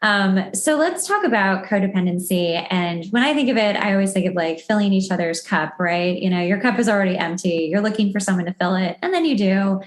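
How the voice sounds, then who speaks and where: A woman hosts a podcast in a casual, chatty style. Her voice sits at a medium pitch. The sound is noticeably roomy and echoey. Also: expressive, brisk, hardly any pauses. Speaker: podcast host. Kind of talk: casual interview chat.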